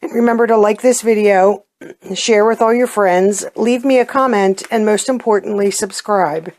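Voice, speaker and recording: A middle-aged woman with a hoarse voice from a cold, chatting casually as she reviews beauty products and often pauses with ums. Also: medium pitch, a little mellow. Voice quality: hoarse, clears her throat. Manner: chatty, plainspoken, hesitant with ums. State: getting over a cold, losing her voice. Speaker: unboxing vlogger.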